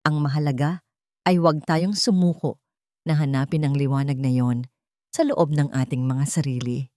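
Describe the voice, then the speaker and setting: A woman's voice: low voice, fairly even intonation, moderate pace, mellow, no echo, clean studio sound, natural voice. A Filipino woman voicing a sincere, grateful and resilient young woman in an audiobook drama. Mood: confident, hopeful.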